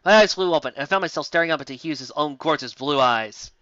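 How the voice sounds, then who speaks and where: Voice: medium pitch, fast, a bit bright, dry recording, pitched below the performer's own voice, close to an everyday voice. A reader playing a melodramatic, self-important young heroine narrating a story with solemn, overwrought delivery, slightly lo-fi. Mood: weak, dreamy.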